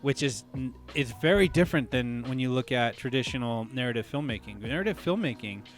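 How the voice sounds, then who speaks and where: A man's voice: mid-range voice, animated, brisk, soft background music. Man musing aloud on a podcast. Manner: thinks aloud, frequent restarts and "you know what I mean". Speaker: podcast co-host. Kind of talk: casual podcast discussion.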